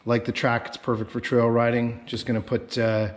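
A man's voice: medium pitch, monotone, moderate pace. Casual man giving a walkaround. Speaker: snowmobile vlogger. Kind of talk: casual walkaround tour to camera. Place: residential garage with concrete floor.

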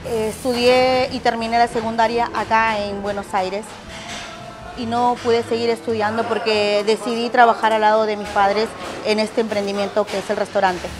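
A woman in her forties from Peru speaks plainly and sincerely in a slightly high voice at her restaurant counter. Clear music runs beneath her words. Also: little pitch movement, normal speed. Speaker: restaurant owner. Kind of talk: documentary interview.

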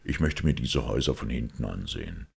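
A man reading the part of a shrewd, calm priest detective in an audiobook, in a measured, deliberate way. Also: rumbling low, vocal fry, husky, heavily acted. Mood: quietly urgent.

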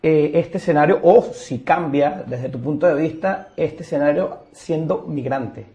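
A man asking an interview question slowly and hesitantly. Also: on the high side, mellow. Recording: dull off-mic sound.

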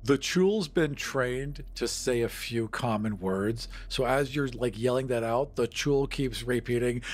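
Guy describing what his game character does, average pitch, noisy audio. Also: measured pace. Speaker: tabletop game player.

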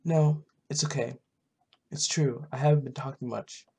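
A shy, apologetic young newcomer in a game acted by a gamer. The husky voice is reserved and comes out in halting phrases. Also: mid-range voice, steady tone, darkish tone.

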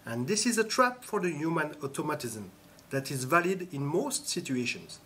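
A man giving scripted instructional narration in a measured, instructive way. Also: on the high side, big pitch swings, sharp tone, a little hiss or hum. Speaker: training narrator.

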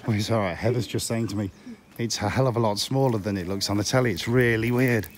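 Hoarse middle-aged man, chatty tour. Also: lowish voice, fairly even intonation, fast talker. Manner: rambling, chatty, with frequent ums. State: hoarse, losing his voice from a cold. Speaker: vlogger touring filming locations. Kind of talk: casual walking tour chat. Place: outdoors on small town streets.

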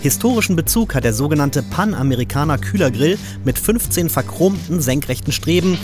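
A man with a German accent reading a scripted, brisk and technical car-review voice-over. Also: fairly high pitch, lively intonation. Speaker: car magazine narrator. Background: music.

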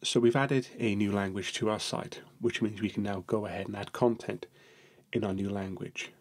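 A man narrating a software screencast tutorial, explaining each step in a steady manner. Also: lowish voice, normal speed.

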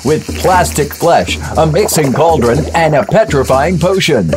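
An actor playing an excitable pitchman in a 1950s-style radio toy commercial, with a hammy, salesy delivery. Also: a little slow. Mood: gleeful selling. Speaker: commercial announcer. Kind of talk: retro toy commercial parody. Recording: music behind voice.

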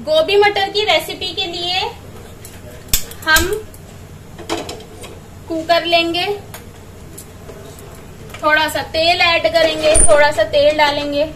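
A woman's voice: extremely high pitch, level tone, slow, long pauses, distant mic, background noise. A woman explaining a recipe step by step as she cooks in her home kitchen. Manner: step-by-step instructing. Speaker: home cook vlogger. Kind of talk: cooking demonstration.

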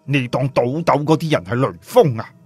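Man voicing brash, husky, streetwise Fatty. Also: mid-range voice, animated, normal speed, warm tone, dry recording, soft background music. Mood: scornful explaining. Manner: mocking, slangy, blunt.

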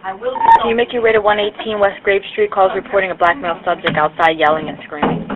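A woman's voice: medium pitch, lively intonation, fairly quick, over a noisy crowd. A woman working as a police dispatcher, speaking in terse, procedural radio calls over a police radio. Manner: clipped, procedural. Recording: tinny, very noisy police radio with clicks.

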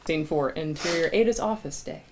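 A woman's voice: deep voice, brisk. Woman reading script directions, noisy room. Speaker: stage-direction reader at a table read.

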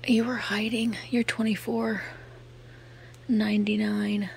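An American woman giving casual, murmured shop-along commentary in a hushed, gentle voice that often drops to a near-whisper, recorded on a handheld camera microphone. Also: medium pitch, little pitch movement, slow. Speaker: vlogger showing finds while shopping. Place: indoors in a cluttered antique mall. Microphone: handheld camera's built-in microphone. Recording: clear ambient store noise, sensitive camera microphone.

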